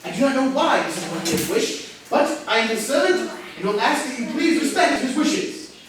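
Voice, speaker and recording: A man's voice: very high-pitched, very animated, moderate pace, a bit bright, exaggerated voice. A man playing a stiff, haughty manor butler in a staged comedy reading, formal, prim and dryly disapproving. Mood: formal, firm. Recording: very echoey, distant mic, slight noise.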